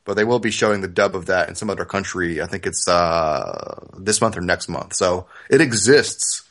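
A man's voice: low voice, animated, moderate pace, a bit bright. A man hosting a casual fan podcast, talking through news in a hedging, wry manner. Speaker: podcast host, fan forum operator.

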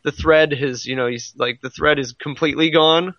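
A man's voice: on the high side, steady tone, normal speed, a bit bright, no room sound, muffled recording. A man in a casual podcast discussion of manga, rambling a little as he thinks aloud. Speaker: podcast co-host.

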